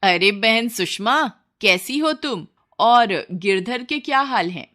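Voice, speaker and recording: A woman's voice: a little high, lively intonation, takes it slowly, bright, forceful tone, full character voice. A woman voicing a scheming male village neighbour in a cartoon story, chummy and confiding. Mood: conspiratorial.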